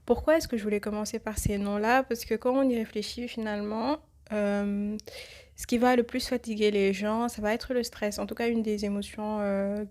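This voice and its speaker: A woman with a cold hosting a reflective religious podcast, speaking thoughtfully and pausing often with fillers. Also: medium pitch, steady tone, darkish tone. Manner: hesitant, many filler pauses. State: has a cold. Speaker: podcast host.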